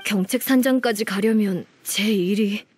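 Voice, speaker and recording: A woman's voice: mid-range voice, moderate pace, a little mellow, a little hiss or hum, lightly acted. Woman playing an earnest, deferential junior colleague. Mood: apologetic, grateful. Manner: polite, deferential. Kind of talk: video game character acting.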